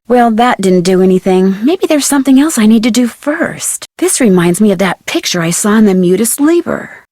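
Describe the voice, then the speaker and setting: A woman's voice: medium pitch, darkish tone, no echo, clean studio sound. A woman playing a curious, plucky young detective who thinks aloud in scripted adventure game lines. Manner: inquisitive, self-talking. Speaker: video game voice actor.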